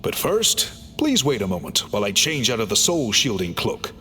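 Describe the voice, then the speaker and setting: Calm formal chief guy, explains things politely, bright lively voice, faint music. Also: on the low side, normal speed, heavily acted. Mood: reassuring. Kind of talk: game cutscene voice acting.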